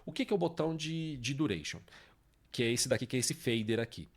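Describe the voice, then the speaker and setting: A man's voice: medium pitch, brisk. A man with a Brazilian accent hosting an informal music production tutorial, explaining step by step and often checking understanding with short tag questions. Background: guitar samples and music played from software.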